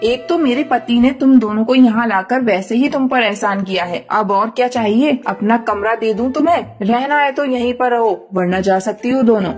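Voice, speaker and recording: Woman voicing a high-pitched, scolding, haughty crow wife. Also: moderate pace, few pauses, darkish tone, faint music behind, lightly acted. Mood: irritated, contemptuous. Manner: scolding, bossy, sarcastic. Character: haughty, bitter, hard-hearted female crow, rich wife. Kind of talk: Hindi animated moral story dialogue.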